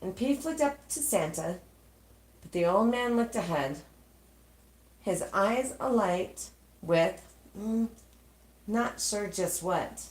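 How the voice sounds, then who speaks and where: A woman reading a rhyming children's storybook aloud, with dramatic pauses between short phrases. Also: medium pitch, animated, a little mellow, reverberant.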